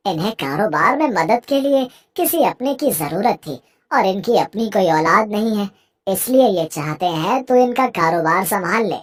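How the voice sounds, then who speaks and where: An actor voicing a gentle old widowed village father in a cartoon moral story, mild, trusting and fatherly. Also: mid-range voice, lively intonation, moderate pace, lightly acted. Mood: gently urging. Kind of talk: animated Hindi moral story acting.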